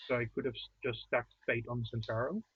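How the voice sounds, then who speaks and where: A husky-voiced man joining a card game stream remotely. He thinks aloud and stops and restarts his sentences. His voice comes through a dry, tinny, phone-like line. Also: medium pitch, steady tone, a little fast, no room sound. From outside the United States. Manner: thoughtful, hesitant restarts. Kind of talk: casual post-game chat. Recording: dry, tinny call-quality audio.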